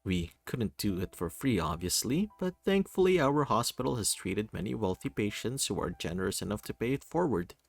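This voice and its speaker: A man voicing a calm, welcoming male doctor in a visual novel reading. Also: lowish voice, normal speed, gravelly, few pauses, no echo, heavily acted. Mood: friendly, relaxed. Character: friendly, composed male hospital doctor.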